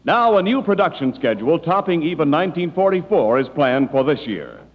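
Animated 1940s male radio announcer, muffled sound. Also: on the high side, fairly quick. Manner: polished, emphatic salesmanship. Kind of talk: 1940s radio advertising read. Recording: muffled old radio broadcast, hall echo.

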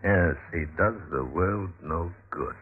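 A flattering, deadly henchman in vintage radio drama. The voice is deep and rough-edged, the delivery oily and insinuating. It sounds narrow and muffled like an old broadcast. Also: slow, exaggerated voice. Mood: sly, sinister. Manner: insinuating, unctuous. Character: Michelotto, smooth, flattering, murderous henchman of a nobleman. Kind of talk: 1950s radio drama acting.

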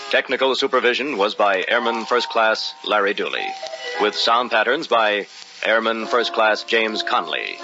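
An American male announcer reads credits in old-time radio style. His voice is fairly low. The vintage recording is muffled, with clear music behind him.

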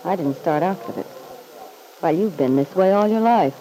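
A woman's voice: fairly low pitch, lively intonation, normal speed, warm tone, natural voice, rough recording. An actress playing a catty, disillusioned woman in a vintage radio soap, arch, needling and drily amused. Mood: reproachful. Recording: old radio recording with faint hiss.

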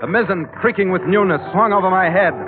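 A man's voice: sits high, quick pace, over music, lightly acted. An actor playing a tough, wry sea captain who narrates his own adventures in hard-boiled 1940s radio style. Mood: vivid, storytelling. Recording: narrow old radio broadcast recording.

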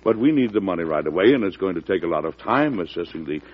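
A genial old-time president. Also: male voice, sits low, animated, quick pace, husky. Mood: easygoing. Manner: chatty and folksy, thinking aloud. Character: genial, wry older male president. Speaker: president narrating his own story. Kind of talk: 1940s radio acting. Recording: dull, lo-fi old radio recording.

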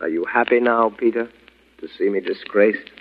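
A man's voice: lowish voice, moderate pace, slight background noise, close to an everyday voice. An actor playing a dignified, aggrieved stepfather and doctor with a formal, bitter delivery, in old radio drama style. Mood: bitter, resigned. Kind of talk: 1940s radio acting. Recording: thin, phone-like old radio recording.

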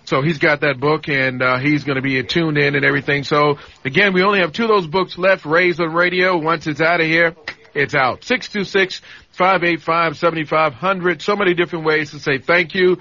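Male radio host, bright slightly high voice, casual call-in pitch, dry but slightly dull studio sound. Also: steady tone, normal speed.

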